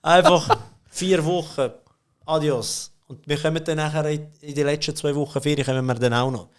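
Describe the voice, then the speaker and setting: A man's voice: a little high, fairly even intonation, moderate pace, clean tone. A middle-aged man with a Swiss German accent, telling stories in a casual podcast chat, with frequent laughs and filler words. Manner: chatty, laughs often, many fillers and restarts. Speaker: podcast guest, company CEO. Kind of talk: casual conversational storytelling.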